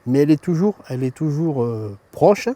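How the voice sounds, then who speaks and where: A man speaks as a local official being interviewed. His voice is dark and mellow, and he often repeats a phrase while rewording it. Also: a little high, expressive, moderate pace. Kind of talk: interview answer.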